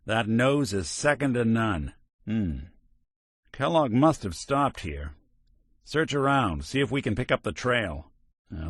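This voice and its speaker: An actor voicing a weary synth detective in a video game, a gruff middle-aged man with a dry, wisecracking manner. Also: medium pitch, no room sound. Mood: focused. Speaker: companion character. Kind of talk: game character barks.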